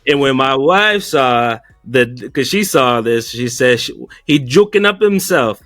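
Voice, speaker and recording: A man co-hosting a reaction talk show, animated and joking, swinging from low asides to loud outbursts. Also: unhurried, no echo, clean studio sound. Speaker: podcast co-host. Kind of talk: casual reaction chat.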